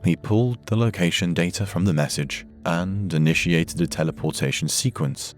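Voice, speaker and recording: A man narrating a dramatic science fiction story from a script in a measured, solemn way. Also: sits low. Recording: music behind the narration.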